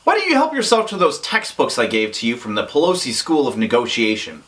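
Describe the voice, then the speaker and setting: A man playing a gruff, stubborn police negotiator boss with a curt, dismissive manner in a comedy sketch. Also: medium pitch, huge pitch swings, fairly quick, fairly bright tone.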